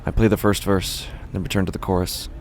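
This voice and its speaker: Guy acting tired busker narrating a horror podcast, low voice, brooding n resigned, bit of background noise. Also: level tone, fairly quick, lightly acted. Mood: weary, reflective. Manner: brooding, sighing, understated. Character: weary, resigned male street musician narrating his day. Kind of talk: first-person horror audio drama narration.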